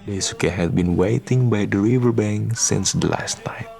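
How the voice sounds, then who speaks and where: Radio drama narrator, male: low, creaky voice, measured scripted storytelling over faint music. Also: a little mellow.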